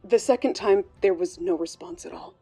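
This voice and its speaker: A woman's voice: medium pitch, normal speed, warm tone, slightly rough, lightly acted. A woman playing a worried, hesitant colleague giving interview testimony in a true-crime audio drama. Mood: uneasy. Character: worried female bank colleague recalling a troubling friend. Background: background music.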